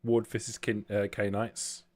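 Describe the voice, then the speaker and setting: A man's voice: fairly low pitch, completely flat delivery, slow pace. A man working as game master of a tabletop game, with precise, formal wording.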